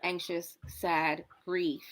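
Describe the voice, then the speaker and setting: A woman in her forties talking in a casual social media video about her health, in a chatty, dramatic way, with sudden jumps between loud and soft. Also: fairly low pitch, level tone, drawn-out words, over a noisy crowd. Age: 40s. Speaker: wellness product promoter in a clip.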